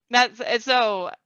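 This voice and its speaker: Bright-voiced, playful woman podcast guest. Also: on the high side, smooth, no echo, highs cut off. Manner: giggly, playful. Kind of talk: casual chat.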